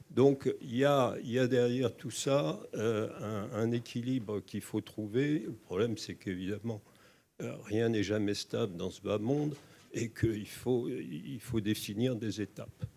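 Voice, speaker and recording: A man making unscripted, reflective remarks at a round table, phrasing his points deliberately and with some hesitation. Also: mid-range voice, vocal fry, warm tone. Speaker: conference participant making comments.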